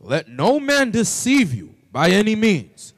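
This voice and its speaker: A man reading Bible verses aloud in a loud, declamatory, drawn-out way. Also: high-pitched, huge pitch swings, dry recording, studio quality. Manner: drawn-out, deliberate delivery. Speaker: scripture reader for a preacher.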